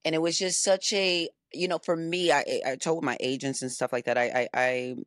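A woman's voice: sits low, little pitch movement, normal speed, no room sound, studio quality. A woman in her forties, a singer, speaking as a guest in a casual chat, effusive and grateful, often restarting her sentences. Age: 40s. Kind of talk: casual conversation.

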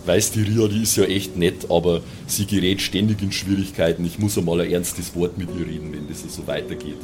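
A man's voice: low-pitched, fairly even intonation, a little fast, over music. A man with a southern German accent, talking casually and colloquially in an improvised role-play game. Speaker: player in a pen and paper role-play podcast.

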